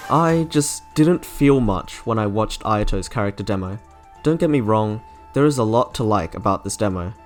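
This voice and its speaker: A man's voice: fairly low pitch, animated, normal speed. A man narrating a scripted video game review as an online reviewer. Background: faint music.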